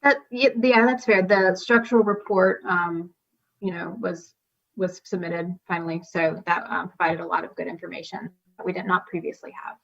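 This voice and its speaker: A woman on city staff presenting a report to a hearing in a formal, measured way. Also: on the low side, fairly even intonation, gravelly, fairly bright tone, muffled recording.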